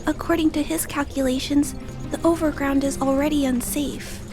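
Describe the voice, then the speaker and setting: A gentle little girl, acted by a woman speaks in a high voice with soft, hesitant politeness. She is an earnest video game character, and music plays clearly behind her. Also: steady tone, moderate pace, close to an everyday voice. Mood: earnest, timid. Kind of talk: scripted video game cutscene dialogue.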